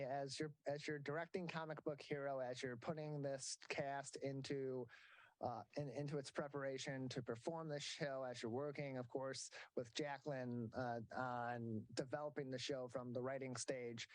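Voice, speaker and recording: A man's voice: medium pitch, steady tone, moderate pace, dry recording, low-quality recording. A man hosting an interview, asking long, winding questions with some fillers. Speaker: host.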